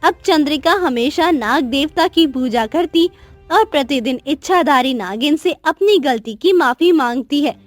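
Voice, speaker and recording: A woman's voice: high-pitched, no echo. A woman narrating a scripted cartoon moral story as storyteller.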